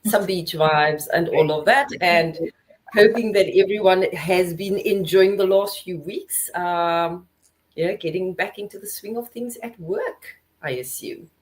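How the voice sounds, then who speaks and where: A woman's voice: on the low side, unhurried. A woman from South Africa with a South African accent, hosting a casual podcast chat over a video call and asking friendly questions. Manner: friendly, chatty, asks follow-up questions. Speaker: podcast host.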